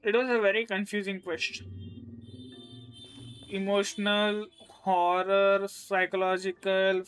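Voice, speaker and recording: A man's voice: sits high, little pitch movement, a little slow, fairly bright tone, smooth, soft background music, poor audio. A man with an Indian accent giving casual live commentary as a game streamer.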